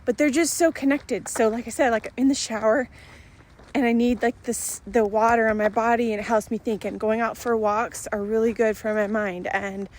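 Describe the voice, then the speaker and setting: Middle aged lady vlogging, kinda high voice, rambly casual chat, giggles a lot. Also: steady tone, moderate pace, darkish tone. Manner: rambling, chatty, giggles often.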